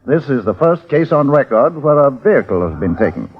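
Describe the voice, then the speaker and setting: A man's voice: mid-range voice, very animated, fairly quick, lightly acted. Lilting, cautious colonial constable played by a man. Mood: cautious, advising. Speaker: supporting actor. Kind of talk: old-time radio drama acting. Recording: muffled vintage radio.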